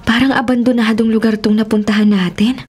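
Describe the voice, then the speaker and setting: An actress playing a sassy, easily spooked young woman travel vlogger with a Manila Filipino accent in an expressive radio drama style. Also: a little high, brisk, breathy edge. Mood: uneasy, earnest. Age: young adult. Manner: expressive, sarcastic, mixes in English slang. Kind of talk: Tagalog radio drama acting with inner narration. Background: music.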